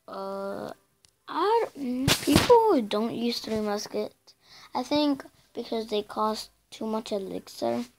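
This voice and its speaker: Raspy-voiced woman with a gravelly edge. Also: fairly high pitch. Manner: halting and filler-heavy, drifting between loud bursts and mumbles. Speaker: channel host. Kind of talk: casual game commentary over a screen recording.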